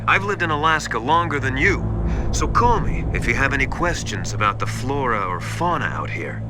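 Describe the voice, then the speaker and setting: A man's voice: medium pitch, very animated, normal speed, music in the background, natural voice. An actor playing an easygoing, retired male drill instructor and mentor in a video game, speaking in a familiar way. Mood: familiar, relaxed.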